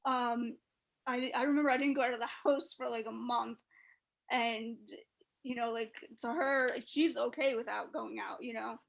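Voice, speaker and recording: A woman's voice: on the high side, steady tone, normal speed, creaky. A woman in her early thirties, a podcast guest, talking chattily with many "you know" fillers. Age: 30s. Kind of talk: casual chat.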